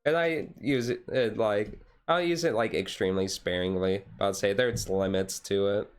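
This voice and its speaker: A man chatting casually on a relaxed livestream in a rambling, easygoing way. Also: lowish voice, normal speed, smooth. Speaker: streamer, tabletop game master. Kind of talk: casual chat on a relaxed ASMR-style livestream.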